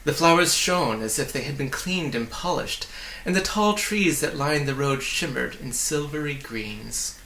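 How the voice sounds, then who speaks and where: A man reading a children's story aloud in an expressive, deliberate way, with friendly asides to the listener. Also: fairly high pitch, reverberant, slight background noise, rough recording. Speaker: storybook reader.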